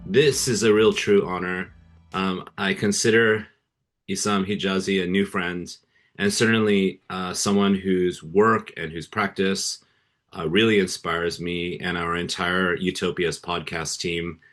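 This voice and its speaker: Very deep-voiced earnest podcast host. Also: male voice, little pitch movement, unhurried, fairly bright tone. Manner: earnest, hesitant, many fillers and restarts. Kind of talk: interview hosting.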